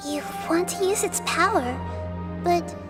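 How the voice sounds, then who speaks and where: A small, childlike anime game girl, speaks in a very high voice with animated swings. The tone is earnest and gentle, easily excited. Music plays underneath. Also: a little slow. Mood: concerned, gentle. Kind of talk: scripted anime-style video game acting.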